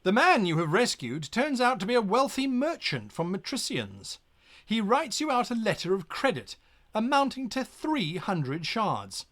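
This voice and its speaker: A man narrating a gamebook as game master on a live stream, with lively asides and pirate growls. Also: sits high, unhurried.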